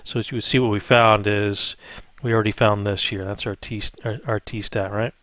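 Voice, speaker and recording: Male math instructor, lowish voice, dry close sound, informal tutorial delivery, thinks out loud and frequently tags on check-in questions. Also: steady tone, normal speed, no room sound. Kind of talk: improvised step-by-step spreadsheet tutorial.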